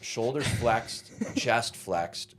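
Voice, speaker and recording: A man who is a comedian guest on a podcast, trading deadpan one-liners in casual chat. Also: medium pitch, extremely slow.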